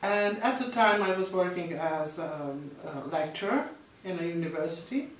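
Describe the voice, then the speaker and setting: A woman's voice: lowish voice, animated, moderate pace, slight background noise. A woman from Romania, a researcher, answering interview questions in a plain, factual way. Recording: echoey room, distant mic.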